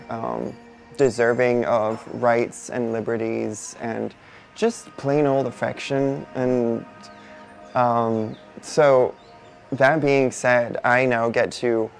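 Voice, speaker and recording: Non-binary interviewee, 20s, male-range voice with a gravelly edge, reflective and unhurried, faint music underneath. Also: mid-range voice. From Barbados. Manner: thoughtful, unhurried, many soft fillers. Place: indoor studio before a painted backdrop.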